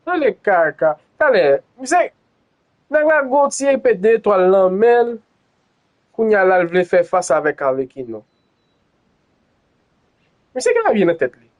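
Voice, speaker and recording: Excitable, very animated male gamer. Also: high-pitched, normal speed, pauses often, muffled recording. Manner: excitable, repetitive exclamations, swearing. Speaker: gamer streaming a playthrough. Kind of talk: live gaming reaction commentary.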